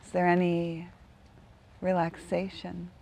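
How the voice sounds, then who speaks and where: A woman leading a guided meditation in a gentle, unhurried manner, leaving long pauses between phrases. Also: on the low side, monotone, creaky, slight background noise.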